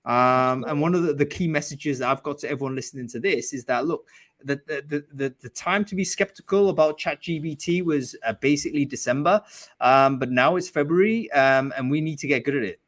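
A man's voice: fairly high pitch, brisk, a bit bright, dry recording. A man hosting a live online show, talking in a casual, enthusiastic way with many fillers and restarts. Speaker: host of a live recruiting show. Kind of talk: casual live-stream chat.

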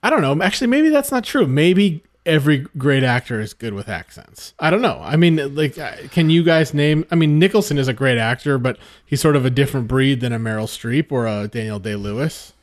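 A man's voice: mid-range voice, animated, fairly quick, few pauses. A man co-hosting a film podcast, thinking aloud and rambling through his point with restarts. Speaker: podcast co-host. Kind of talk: casual film discussion.

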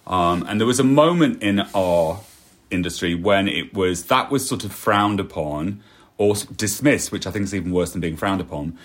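A man hosts a relaxed conversation in a slightly low voice. He muses aloud and often ends points with a questioning tag, inviting his guest to agree. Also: normal speed. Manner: reflective, asks tag questions. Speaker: podcast host. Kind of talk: conversational interview.

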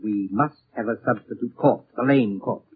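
An actor playing a sinister, coldly polite schemer in 1940s radio drama style. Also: male voice, lowish voice, normal speed, breathy edge. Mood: cold, calculating. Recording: muffled, tinny 1940s radio recording.

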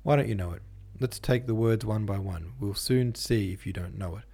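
A raging old-school schoolmaster in a read-aloud story, barking insults at a pupil, as a man reading the book puts him on. The voice is low, gravelly and dark, with a husky edge. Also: normal speed. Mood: furious. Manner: shouting, savage, insulting. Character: furious tyrannical male schoolmaster.

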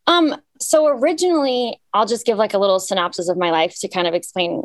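A woman's voice: medium pitch, fairly quick, no room sound. A young woman singer from Cyprus, talking casually and upbeat about her music in an interview, with lots of fillers. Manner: chatty, filler-heavy, upbeat storytelling. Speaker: singer guest.

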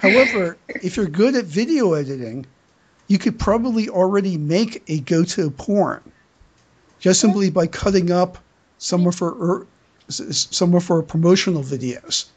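A man with a husky, somewhat rough voice hosts a podcast. He leads a casual news segment in a halting way, often repeating words. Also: sits high, lively intonation, a little mellow. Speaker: podcast host reading news items.

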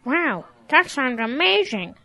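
A stubborn young farm calf played by a woman, high and bright in voice. The delivery is childlike and insistent, in the manner of a children's audio drama. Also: sing-song, slow, heavily acted. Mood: softening, curious.

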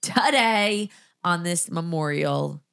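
Hoarse, nasal woman podcast host. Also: lowish voice, lively intonation, a bit bright. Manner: chatty, self-deprecating, quick asides. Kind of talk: casual chat.

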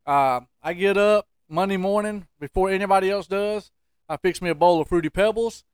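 A man with a fairly high-pitched voice tells stories as a podcast co-host. He is colloquial and emphatic, repeating phrases for comic effect. The sound is dry, with very little room. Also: normal speed, clean tone, no room sound. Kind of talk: casual comic storytelling.

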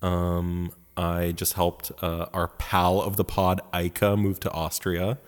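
A man's voice: sits low, little pitch movement, takes it slowly, big-room sound. A man from Poland, with Polish as first language, co-hosting a podcast in campy, joking casual chat from his home. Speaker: podcast co-host. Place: house in Poland.

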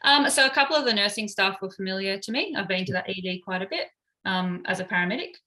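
Aussie woman in her 30s, bright voice, lots of ums, talking it through casually. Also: medium pitch, lively intonation, quick pace. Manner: hesitant, many fillers. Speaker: paramedic interviewee. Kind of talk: casual recounting in an interview.

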